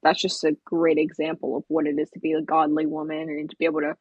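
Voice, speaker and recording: A woman's voice: deep voice, completely flat delivery, fast, darkish tone, slightly rough, no room sound, lo-fi sound. A young woman on a discussion panel, talking casually in an earnest, reflective way. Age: young adult. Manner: earnest, reflective, frequent "like" and "just". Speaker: talk show panelist.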